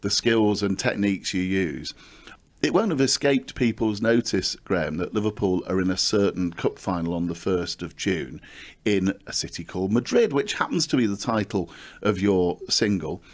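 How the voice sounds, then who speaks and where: A man with a somewhat low voice interviews a musician for the radio. He asks easy, conversational questions that steer the talk along, speaking in a studio. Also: expressive, moderate pace. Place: recording studio.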